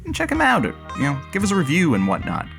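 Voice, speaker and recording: A man's voice: on the high side, wildly swooping pitch, fast talker, vocal fry, music in the background, heavily acted. A man playing a melodramatic, fickle radio station sidekick, theatrical and grandiose, in a comedic radio drama. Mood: cheeky, casual.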